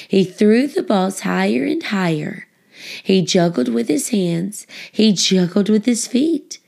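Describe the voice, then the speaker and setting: A woman narrating a children's book, phrasing it expressively and deliberately for young listeners. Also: medium pitch, gravelly, darkish tone. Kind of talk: scripted storybook narration.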